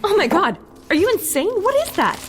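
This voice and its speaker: An actress playing a sharp-tongued, bossy deputy mayor in an audio drama, with a clipped, imperious manner. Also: female voice, very high voice, big pitch swings, brisk, slight background noise, full character voice. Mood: alarmed.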